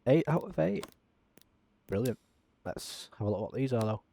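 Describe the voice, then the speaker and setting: A man talking through a simulator game as a channel host, in a self-described monotone with frequent ums. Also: mid-range voice, moderate pace, gravelly. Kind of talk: casual gameplay commentary.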